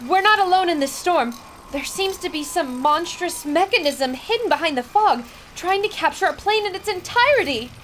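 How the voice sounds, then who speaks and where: A woman's voice: very high-pitched, lively intonation, normal speed, a bit bright, a little hiss or hum. A woman playing an intrepid, observant newspaper reporter in a dramatic 1930s-style radio adventure. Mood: alarmed, urgent. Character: intrepid female newspaper reporter aboard a plane, brave and observant.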